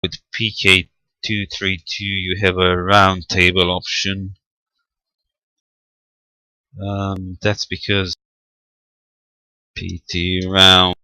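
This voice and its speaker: A man giving an unscripted hands-on technical tutorial as an amateur radio demonstrator, matter-of-fact and halting as he thinks aloud. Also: low-pitched, monotone, bright, forceful tone, no echo.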